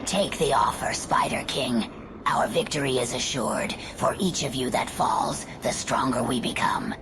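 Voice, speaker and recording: A woman playing a cold undead servant of a dark lord in a game, with a whispery, gravelly voice. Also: a bass voice, a little slow, background noise, full character voice. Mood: cold, sinister.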